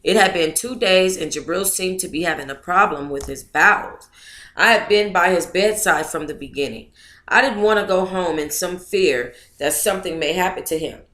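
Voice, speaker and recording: A woman hosting a book club, reading a memoir aloud in a casual, expressive way and chatting with her listeners. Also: on the low side, normal speed, roomy sound. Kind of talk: casual reading of a memoir, then chat with viewers.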